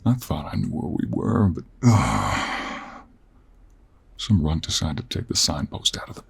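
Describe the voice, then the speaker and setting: A man playing a gruff, teasing, weary 49-year-old cowboy monster hunter in an intimate audio roleplay, with a husky Western American drawl. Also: a bass voice, full character voice. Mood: frustrated, weary. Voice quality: gravelly, husky. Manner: slow, drawling, with heavy sighs and pauses. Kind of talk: intimate audio roleplay acting, addressed to the listener.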